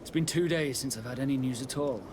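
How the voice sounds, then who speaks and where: A male actor voices a middle-aged ship's captain for a video game. He is formal and stern, choosing measured words with authority. Clear background noise sits behind the voice. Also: mid-range voice, natural voice. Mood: grave, concerned. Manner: formal, measured, commanding phrasing. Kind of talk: video game dialogue acting.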